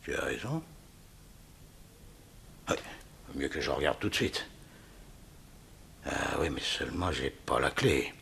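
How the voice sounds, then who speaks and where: Casting: murderous radio-serial thief. Mock-polite, greedy, sharp-edged voice with husky grain, swinging widely. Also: male voice, very deep voice, big pitch swings, slow pace, pauses often, heavily acted. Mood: sly, absorbed. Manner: theatrical, mock-polite, chuckling menace. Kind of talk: 1960s French radio crime serial acting.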